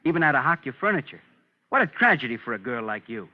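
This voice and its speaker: An actor playing a sardonic detective needling a suspect with mock sympathy, dry and sarcastic, American accent, in a 1950s radio crime drama. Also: male voice, mid-range voice, very animated, fast, natural voice. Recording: muffled old radio broadcast.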